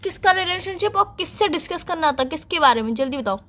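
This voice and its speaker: Very high-pitched young woman, insistent and bright. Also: expressive, fairly quick. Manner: insistent, questioning, pleading. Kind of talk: casual relationship argument on a phone call. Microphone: phone call.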